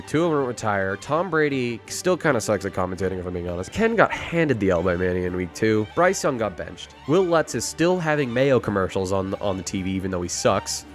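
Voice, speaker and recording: A young man casually recapping his fantasy football league, rambling and self-mocking, getting hyped over big plays. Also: medium pitch.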